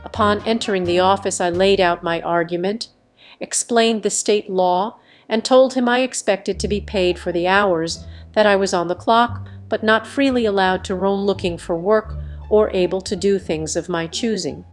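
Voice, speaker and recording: A woman's voice: mid-range voice, normal speed. A woman reading online stories aloud in a scripted narration style. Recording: background music under the voice. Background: music.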